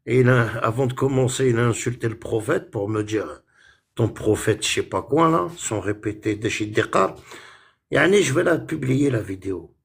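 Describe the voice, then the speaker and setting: A man with a husky, breathy voice talks on a phone live stream in a heated, casual rant. He is indignant and keeps circling back to the same points. He mixes Arabic words into his speech. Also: medium pitch, moderate pace. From France.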